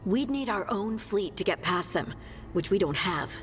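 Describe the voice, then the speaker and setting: A woman's voice: medium pitch, expressive, moderate pace, a little hiss or hum, natural voice. A woman playing a loyal, practical scout in a fantasy video game, earnest in manner.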